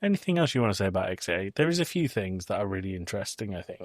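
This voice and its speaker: A man with a fairly low voice talks as a podcast host in a close, dry recording with no room sound. His delivery is casual and blunt, like an informal film review, and he swears freely as he goes. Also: very animated, rapid-fire, no echo.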